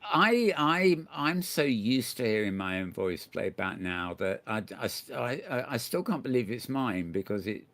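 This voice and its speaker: A man's voice: medium pitch, very animated, a little slow, hardly any pauses, fairly bright tone. An older man hosting a casual chat show, rambling and restarting often as he tells a story. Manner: chatty, rambling, many restarts and stammers. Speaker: host.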